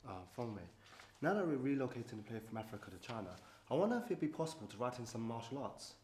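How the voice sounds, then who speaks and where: A man's voice: medium pitch, sing-song, fast, a little mellow, echoey room, slight background noise, lightly acted. A man playing an ambitious actor who is eager to persuade. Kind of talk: short film acting.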